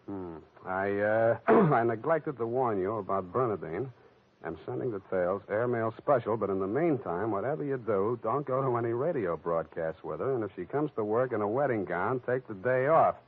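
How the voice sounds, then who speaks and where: Muffled, crackly vintage radio drama. A man plays a hard-boiled private eye, sardonic and deadpan, whose pitch swings widely through each wry remark. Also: normal speed, natural voice. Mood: bemused. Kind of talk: 1940s radio acting. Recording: muffled, noisy old radio recording.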